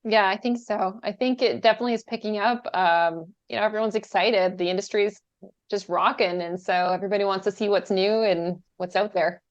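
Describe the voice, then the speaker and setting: A woman's voice: medium pitch, steady tone, a little fast. Woman, Canadian-born podcast guest, easygoing casual chat, dull lo-fi audio. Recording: dull lo-fi sound.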